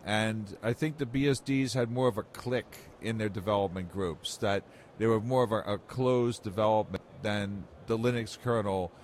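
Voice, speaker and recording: Older guy chatting in an interview, kinda rambly storyteller, some noise behind him. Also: mid-range voice. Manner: explanatory, anecdotal, rambling. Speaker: interview guest, software industry veteran.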